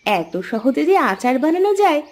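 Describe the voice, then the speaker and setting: A woman's voice: high voice, big pitch swings, moderate pace, darkish tone. A woman playing a homely, plainspoken village wife and mother in a children's cartoon story. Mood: welcoming. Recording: faint music under the voice.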